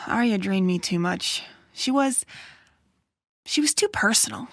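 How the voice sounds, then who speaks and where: A woman's voice: medium pitch, lively intonation, takes it slowly, gravelly, no room sound, studio quality, exaggerated voice. A woman voicing a moody, wry pony writer of detective stories in a noir-tinged audio drama. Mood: self-deprecating, weary. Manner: moody, wry, self-deprecating. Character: world-weary, self-doubting female pony detective-story writer. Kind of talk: noir narration and dramatic dialogue.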